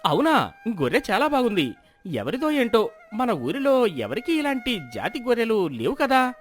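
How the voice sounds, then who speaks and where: Guy doing a prying village neighbour in a moral tale, really animated, music behind. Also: extremely high pitch, moderate pace, full character voice. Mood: curious. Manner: inquisitive. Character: nosy neighbouring village farmer. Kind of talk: animated moral-story dialogue.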